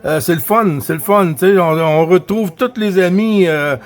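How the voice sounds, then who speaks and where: Chatty Quebec man, slightly high voice. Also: normal speed. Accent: Quebec French. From Quebec, Canada. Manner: chatty, friendly, repeats phrases and radio codes. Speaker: amateur CB radio operator. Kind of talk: casual radio chat.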